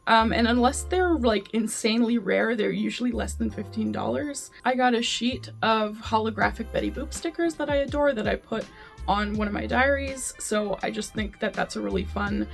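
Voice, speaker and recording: Chatty young woman with a gravelly edge. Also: fairly high pitch. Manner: chatty, upbeat, lots of ums and likes. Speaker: vlogger. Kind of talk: casual gift-guide vlog.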